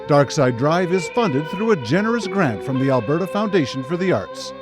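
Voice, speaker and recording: A male radio announcer delivers scripted credits with theatrical flair. His voice is fairly high and animated, set over clear music. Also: normal speed. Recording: music under broadcast announcement.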